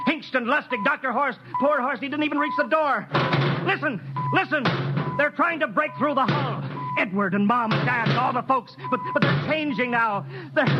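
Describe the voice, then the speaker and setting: A man playing a rocket captain who goes from sceptical to desperate, in the style of 1950s radio drama. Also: extremely high pitch. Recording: muffled old radio broadcast, music behind.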